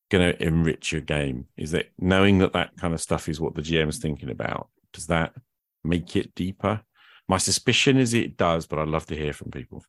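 A man with a low, deep voice hosts a conversational podcast. He talks informally, as if thinking aloud. The sound is dry, with very little room on it. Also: gravelly, dry recording. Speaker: podcast host. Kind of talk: casual conversational podcast talk.

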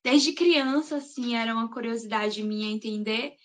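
A woman. Her voice sits high, and she talks in a loose, chatty way, often ending her sentences with tag questions. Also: normal speed. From Salvador, Bahia, Brazil. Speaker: guest, master's student. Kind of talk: interview answers.

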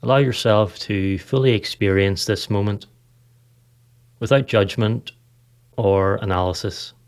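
A man with a notably low voice narrates a guided meditation. He delivers the script calmly and evenly paced in short phrases, with unhurried pauses between them. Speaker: podcast host, meditation guide.

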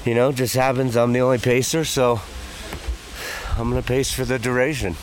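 A man, a race pacer who has just run a hundred miles, giving a casual interview outdoors; he speaks in a laid-back, halting way with many fillers. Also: medium pitch, flat delivery, a little hiss or hum, poor audio. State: weary after running a hundred miles. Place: outdoors at an ultramarathon aid station. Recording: outdoor recording with a crowd behind.

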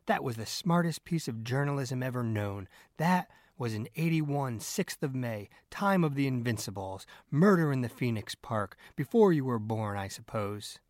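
A man voicing an excitable, scornful, bullying newspaper editor in an audiobook, with an emphatic, blustering delivery. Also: mid-range voice, moderate pace, few pauses, dry recording, clean studio sound, natural voice. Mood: excited boasting.

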